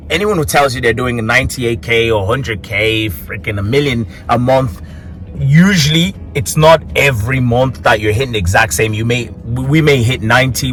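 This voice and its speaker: A man in his thirties talks off the cuff in an office recording booth. His voice sits at an ordinary middle pitch, and he rambles, restarts and corrects himself mid-sentence. Faint music plays underneath. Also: a bit bright. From Tanzania, raised in Ireland. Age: 30s. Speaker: marketing coach. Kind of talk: casual unscripted talk to camera.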